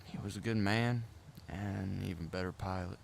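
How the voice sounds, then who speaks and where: A man's voice: sits low, steady tone, normal speed, gravelly, slight background noise. A man playing a brash, grumbling bomber pilot captain in a radio drama. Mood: somber.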